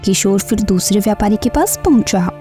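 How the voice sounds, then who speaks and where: A woman's voice: medium pitch, expressive, fairly quick, mellow, soft background music. A woman narrator reading a scripted children's story.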